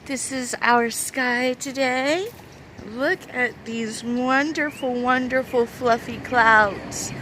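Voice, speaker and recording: A woman's voice: on the high side, takes it slowly, pushed and bright, a little hiss or hum, poor audio. A woman in her thirties with a young-sounding voice, chatting casually to camera in a rambling, hesitant way with many fillers. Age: 30s. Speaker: vlogger.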